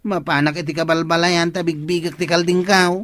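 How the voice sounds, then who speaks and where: An actor playing an earnest, plaintive goat-owning villager in an Ilocano radio comedy drama, with an Ilocano Filipino accent. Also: male voice, on the high side, flat delivery, brisk, a bit bright, no echo, lightly acted. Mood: baffled, upset.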